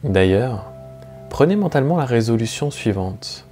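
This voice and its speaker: A man guides a relaxation session as a meditation teacher, speaking slowly and calmly, with soothing, measured phrasing and long pauses. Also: mid-range voice. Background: soft plucked guitar music.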